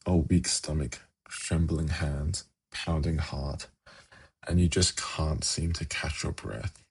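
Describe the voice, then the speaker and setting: A man playing a seductive, ancient demon prince in an ASMR fantasy roleplay, coaxing and teasing in a slow, intimate manner with formal wording. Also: extremely low pitch, fairly even intonation, exaggerated voice. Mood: menacing teasing. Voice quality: gravelly, husky.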